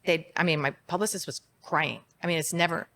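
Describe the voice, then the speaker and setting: Low-voiced woman guest, candid and reflective. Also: fairly even intonation, fairly quick, fairly bright tone. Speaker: guest, holistic psychiatrist. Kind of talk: interview conversation.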